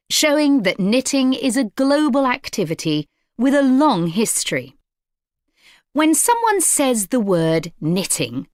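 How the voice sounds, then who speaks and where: Unhurried female lecturer. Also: medium pitch, no echo, clean studio sound. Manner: measured, articulate, explanatory. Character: fashion design lecturer addressing a class. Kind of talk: scripted lecture.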